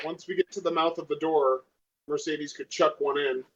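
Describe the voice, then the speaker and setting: A man's voice: a little high, fairly even intonation, normal speed. A man playing a tabletop game, thinking aloud hesitantly as he plans in casual chat. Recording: dull lo-fi voice recording.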